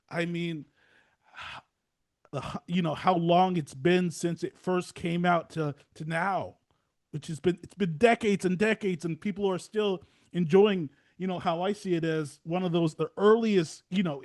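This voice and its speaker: Male podcast host with a high-pitched voice. Loose, enthusiastic, halting phrasing, like an informal chat with guests. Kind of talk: casual, rambling interview chat.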